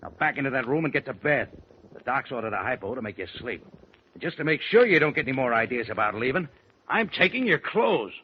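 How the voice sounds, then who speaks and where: A man's voice: medium pitch, very animated, fast, close to an everyday voice. A man playing a bossy, sarcastic but caring newspaper boss in a 1940s radio drama. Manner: sarcastic, overbearing. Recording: muffled 1940s radio recording.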